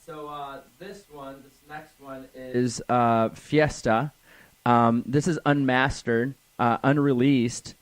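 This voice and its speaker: A man's voice: mid-range voice, steady tone, slow pace, no room sound, rough recording. A man appearing as a guest on a radio show, introducing his songs in an easygoing way. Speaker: guest singer and clothing designer. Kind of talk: casual radio interview.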